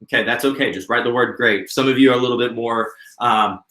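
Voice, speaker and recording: An earnest man in his late thirties coaches a workshop, talking in a friendly, encouraging way. His voice sits at an ordinary middle pitch. The recording carries a noticeable roomy echo. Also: brisk, bright, forceful tone. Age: 30s. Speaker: business coach. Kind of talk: instructional workshop talk.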